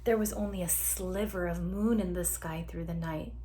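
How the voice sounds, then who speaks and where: A woman teacher reading a picture book aloud to young children in an animated way, drawing out sound words and inviting them to join in, with some background noise. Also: on the low side, moderate pace.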